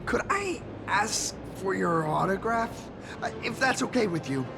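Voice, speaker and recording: Young earnest anime game hero: high voice with some rasp, starstruck, humble, stammers when flustered. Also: male voice, lively intonation, a little slow, over music, full character voice. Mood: gushing, flustered. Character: excitable starstruck young male actor-turned-masked-hero, earnest and humble. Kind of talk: anime-style video game voice acting.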